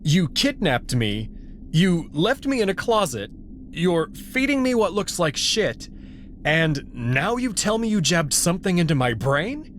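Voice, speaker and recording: A man's voice: high voice, big pitch swings, measured pace, a little hiss or hum. Man playing an indignant, anxious abducted human. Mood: annoyed, panicked. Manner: questioning, indignant.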